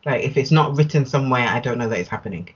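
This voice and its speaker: A woman's voice: extremely low pitch, expressive, rapid-fire, lo-fi sound, slight background noise. A British woman speaking as an interview guest in a casual, candid and emphatic conversational way. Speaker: interview guest, business owner.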